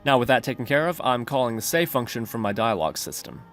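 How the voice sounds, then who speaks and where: A man with a medium-pitched voice presents a step-by-step software tutorial. His delivery is methodical and instructional, with faint music playing behind him. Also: animated, fast talker. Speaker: programming tutorial host. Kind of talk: scripted tutorial narration.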